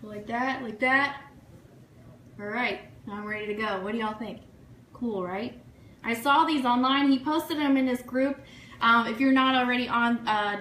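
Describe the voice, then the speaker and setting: A woman from the United States hosting a casual, chatty livestream radio show in a gushing, excitable way, full of thank-yous and shout-outs. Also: on the high side. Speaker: online radio show host. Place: room, live social media stream. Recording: echoey room, faint noise.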